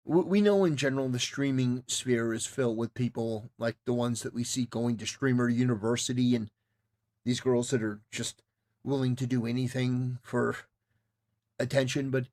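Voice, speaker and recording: A man speaks as a livestream commentator in a casual, opinionated style. His pitch sits in the middle for a male voice. He is emphatic, often stopping, restarting and repeating a phrase to press it home. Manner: emphatic, repetitive, stop-start phrasing. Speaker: livestreamer reacting to a clip.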